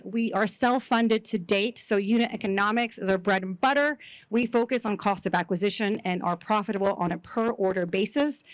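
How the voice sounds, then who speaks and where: Woman delivering a confident startup pitch. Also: no room sound, rough recording. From Mexico. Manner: confident, rehearsed, measured delivery. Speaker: founder pitching to investors.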